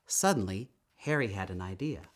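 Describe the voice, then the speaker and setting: Guy reading a kids book out loud, really lively up and down voice, stretches words for effect. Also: lowish voice. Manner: expressive, emphatic storytelling with drawn-out words. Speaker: storytime reader. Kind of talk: read-aloud children's story narration.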